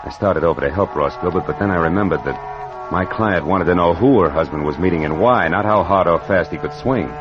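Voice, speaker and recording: Actor playing hard-boiled American male private eye, very low voice, sardonic punchy narration, radio noir, loud music behind. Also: brisk, lo-fi sound, natural voice. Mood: tense, dry.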